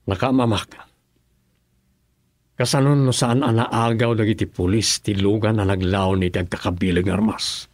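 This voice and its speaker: A man's voice: fairly low pitch, fairly even intonation, normal speed, no room sound, clean studio sound, natural voice. A man acting as a serious governor in an Ilocano radio drama. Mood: grave.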